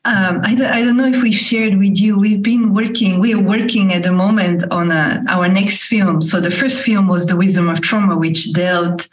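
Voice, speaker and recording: A woman co-hosting a podcast, asking long, searching questions with many pauses and fillers. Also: mid-range voice, fairly even intonation, rough recording. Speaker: podcast co-host and filmmaker. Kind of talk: interview questions, conversational.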